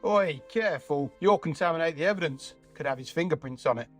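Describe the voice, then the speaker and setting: A man's voice: on the high side, lively intonation, a little fast, soft background music, natural voice. A man playing a practical, brusque police inspector with casual, matter-of-fact authority in an audio play. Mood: brusque, commanding.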